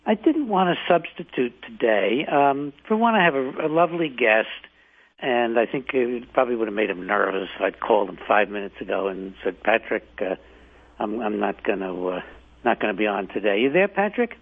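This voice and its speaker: An older male radio host, hoarse from the flu, talks in a low voice that is husky and a little strained. He rambles, stops and restarts. His voice comes through a tinny, phone-like line. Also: expressive, normal speed. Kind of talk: casual talk radio monologue. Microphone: home setup, not his usual studio mic.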